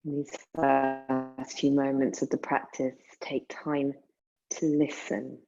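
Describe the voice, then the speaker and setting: A woman guiding a meditation in a soothing, unhurried manner, leaving long pauses between phrases. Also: extremely low pitch, little pitch movement, gravelly, mellow, highs cut off, faint music behind. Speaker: yoga nidra meditation guide. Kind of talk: guided meditation.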